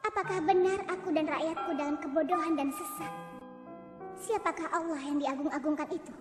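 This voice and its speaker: A woman voicing a proud, commanding young queen in an animated cartoon, speaking in an imperious, scolding manner. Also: high voice, brisk, lightly acted. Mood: regal. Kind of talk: cartoon voice acting. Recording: loud background music.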